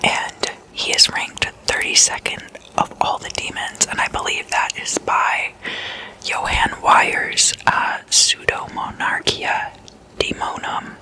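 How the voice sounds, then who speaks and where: An ASMR host whispering in an intimate slumber-party chat, addressing listeners as guests and softly repeating words. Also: slow. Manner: repeats single words in soft trailing echoes.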